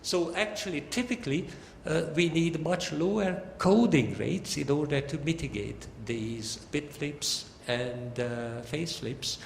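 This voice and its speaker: A man's voice: fairly high pitch, measured pace, vocal fry, hardly any pauses. Echoey older man lecturing. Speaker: academic lecturer, professor. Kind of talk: technical academic lecture. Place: large lecture hall. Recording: echoey hall with long reverb, background noise.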